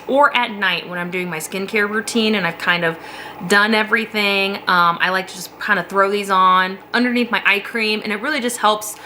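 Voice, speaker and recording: Woman beauty vlogger: bright, chatty, a little sniffly, casual to-camera talk from a home basement office. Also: medium pitch, a little hiss or hum. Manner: chatty, rambling, laughs often. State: slightly sniffly from a cold.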